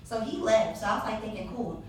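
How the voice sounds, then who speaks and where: A young adult woman telling a personal story live on stage, animated and sassy with laughing asides. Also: medium pitch, brisk, a little hiss or hum. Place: live event venue. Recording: echoey hall, distant mic.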